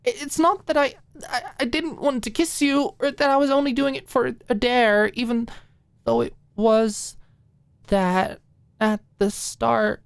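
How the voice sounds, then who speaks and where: Woman acting flustered young woman, high halting pleas. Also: fairly even intonation, vocal fry, clean tone, no room sound. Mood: nervous, apologetic. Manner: halting, stammering, pleading. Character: earnest, flustered young woman pleading with her crush. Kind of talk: audiobook character acting.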